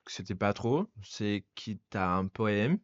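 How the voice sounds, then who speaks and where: A man's voice: on the low side, animated, takes it slowly, dry recording, dull audio. A man speaking French as a second language, chatting casually as a podcast host and stopping often to search for words. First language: not French. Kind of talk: casual conversation.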